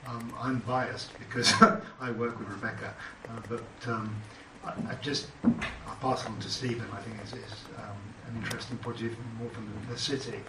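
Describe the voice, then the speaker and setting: A British man on a panel who talks haltingly, with lots of fillers and restarts. His voice is mid-pitched and sits far off in an echoey room, with clear background noise. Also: steady tone. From Britain. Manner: hesitant, many fillers and restarts. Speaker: panelist, entrepreneur. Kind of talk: panel discussion remarks.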